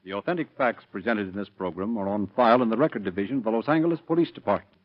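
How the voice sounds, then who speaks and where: A man announcing and narrating in a formal, declamatory 1930s radio style with measured, scripted phrasing. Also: on the low side. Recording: dull vintage radio with music.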